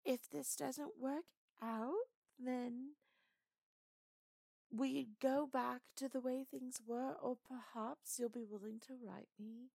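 Slow sultry british flirt with a gravelly fry, a woman putting it on, teasing, super close dry sound. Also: fairly high pitch, dry recording. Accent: put-on British. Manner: teasing, sultry, with soft whispers. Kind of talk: audio roleplay.

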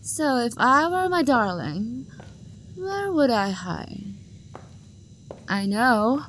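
A woman's voice: on the high side, very animated, drawn-out words, a bit bright, slight background noise, full character voice. A young woman acting an obsessive, possessive lover who hunts for the one she adores, her voice sweet and menacing and often sinking to a whisper. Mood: teasing, hunting. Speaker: voice actor. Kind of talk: audio roleplay acting.

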